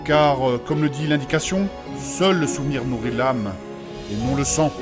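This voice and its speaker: A man's voice: mid-range voice, normal speed, over loud music, close to an everyday voice. A man playing a courteous, solemn nobleman with old-fashioned formal diction in a fantasy audio drama. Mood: solemn explaining. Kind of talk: French fantasy comedy audio drama acting.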